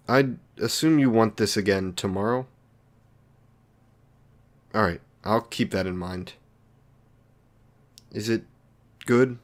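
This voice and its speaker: Lonely villain , male actor, somewhat deep voice. Considerate and socially awkward, apologetic delivery for close, intimate roleplay audio. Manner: hesitant, apologetic, polite.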